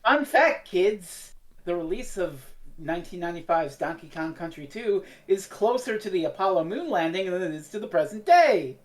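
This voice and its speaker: A woman's voice: lowish voice, expressive, normal speed. A woman co-hosting a podcast in casual, joking banter. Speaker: podcast co-host.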